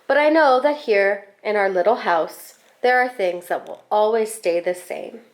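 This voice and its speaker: A woman reading a children's picture book aloud expressively, with deliberate, animated phrasing and drawn-out words. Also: mid-range voice.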